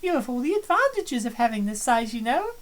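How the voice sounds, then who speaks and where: A woman's voice: a little high, big pitch swings, a little fast. A woman voicing a confident, cheerful young woman in a fan dub of a visual novel, with a self-assured, breezy delivery.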